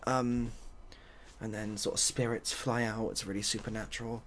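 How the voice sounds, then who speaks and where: A soft-spoken man improvising a story in a hesitant, dry, rambling and self-deprecating way. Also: on the low side, flat delivery, moderate pace, a little hiss or hum. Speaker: ASMR video host.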